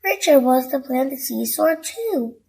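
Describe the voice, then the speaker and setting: A woman with a high, slightly creaky voice reads a children's story. She takes her time, expressive and clearly addressing little listeners. There is faint noise in the recording. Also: normal speed. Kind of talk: scripted read-aloud bedtime story narration.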